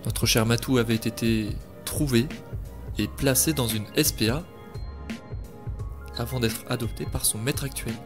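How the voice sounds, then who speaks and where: A man tells a scripted podcast story in a wry voice pitched in the middle range. Music plays clearly behind him. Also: fairly even intonation, unhurried, long pauses. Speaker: narrator.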